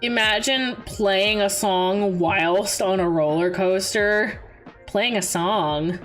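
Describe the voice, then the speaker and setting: A young adult woman chatting casually on a livestream as its host, expressive and giggly as she tells stories and answers viewers. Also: mid-range voice, slow pace. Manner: giggly, animated storyteller with expressive exclamations. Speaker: VTuber streamer, host. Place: indoor livestream. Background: background music.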